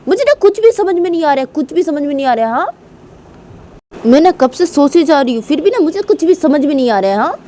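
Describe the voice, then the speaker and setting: Woman acting whiny, jealous, high-pitched angry girl. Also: big pitch swings, a little fast, mellow, a little hiss or hum. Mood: furious, demanding. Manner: whining, repetitive, demanding questions. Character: angry, jealous, sulking girl confronting her mother and rival.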